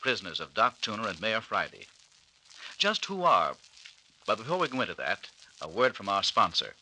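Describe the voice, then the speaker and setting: A man's voice: lowish voice, very animated, normal speed, bright, forceful tone, heavily acted, low-quality recording. A man narrating a vintage radio mystery serial as announcer, solemn and theatrical. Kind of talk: dramatic 1940s radio narration. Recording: hissy vintage radio recording.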